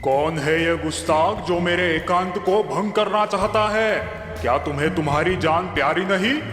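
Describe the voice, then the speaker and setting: A man's voice: sits high, normal speed. A man voicing an arrogant, mocking monster in a cartoon, imperious and threatening. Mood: outraged. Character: big menacing male cave monster, arrogant, mocking bully. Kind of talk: theatrical Hindi cartoon villain acting. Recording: echoey cave-like reverb under loud music.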